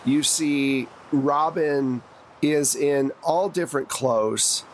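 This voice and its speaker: A man's voice: fairly high pitch, slow, slight background noise, rough recording. A man narrating a tabletop roleplaying game as game master, in a conversational, plain-spoken way. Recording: music behind the voice.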